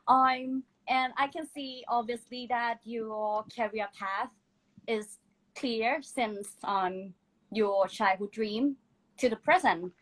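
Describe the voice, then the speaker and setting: Attentive woman host, fairly high voice. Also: a bit bright, rough recording. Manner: attentive, frequent "yeah" and "mm-hmm" backchannels. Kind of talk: casual live-stream interview.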